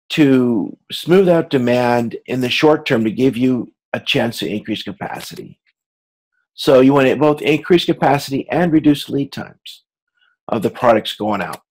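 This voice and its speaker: A man with a medium-pitched voice delivers an informal lecture. He explains as a course instructor would, often doubling back to repeat or reword a phrase before carrying on. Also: a little mellow, low-quality recording. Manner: halting, repeats and rephrases himself as he explains.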